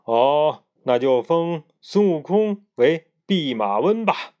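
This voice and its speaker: A man's voice: on the high side, animated, slow, darkish tone, highs cut off. A man voicing a commanding, easily annoyed heavenly emperor in a told storybook tale. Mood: offhand.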